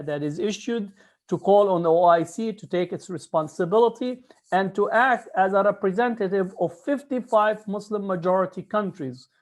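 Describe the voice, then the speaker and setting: A man speaking formally and emphatically as a guest speaker at a press conference, delivering prepared advocacy remarks. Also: high voice.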